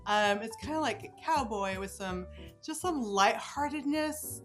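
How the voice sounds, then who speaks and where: A woman's voice: a little high, animated, measured pace, faint music behind. A woman hosting a podcast, chatting with a guest in an enthusiastic, gushing way. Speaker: podcast host interviewing an author. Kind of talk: chatty interview.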